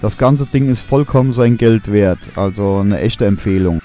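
A young man talks through a drone review in a mellow, warm voice. He sounds keen but easygoing, stopping often on little hesitation sounds. Soft guitar music plays quietly underneath. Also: lowish voice, moderate pace. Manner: relaxed, enthusiastic, many fillers. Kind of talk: voice-over product review.

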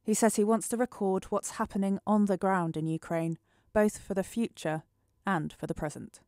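A woman's voice: mid-range voice, fairly even intonation, moderate pace, darkish tone, no room sound, clean studio sound. A woman news reporter delivering a scripted voice-over report.

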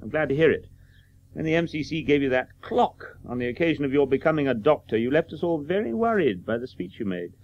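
An actor in a radio play playing a courteous, urbane aristocrat with a formal, genteel manner. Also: male voice, on the high side, sing-song, fast talker. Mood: genial. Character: courteous aristocratic English lord, urbane family friend. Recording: dull, hissy old radio recording.

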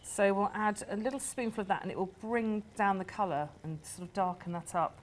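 Woman, middle-aged, mid-pitched, enthusiastic guest cook, lapel mic, faint hiss. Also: fairly quick. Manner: warm, enthusiastic, explaining as she cooks. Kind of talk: cooking demonstration. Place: large greenhouse at a garden centre.

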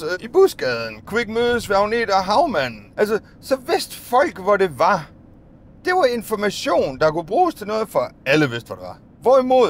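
Chatty man rambling from a minibus. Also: high-pitched. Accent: Danish. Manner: rambling, chatty, musing aloud with restarts. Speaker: bus driver vlogger. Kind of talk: casual vlog monologue while driving. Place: inside a minibus, daytime. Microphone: phone or dashcam on the dashboard.

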